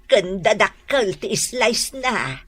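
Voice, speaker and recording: A woman's voice: mid-range voice, sing-song, slow pace, a bit bright, slightly rough, full character voice. A woman playing a friendly, gossipy older snack vendor in a comedic radio drama, with an Ilocano accent. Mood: friendly, grateful.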